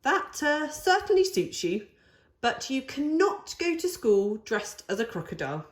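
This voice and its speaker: A patient young boy, the big brother in a storybook, read by a woman, gently reasoning and persuading. The voice is fairly high, unhurried and set in a noticeably roomy space. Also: sing-song. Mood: patient, reassuring. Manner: coaxing, reasoning, questioning.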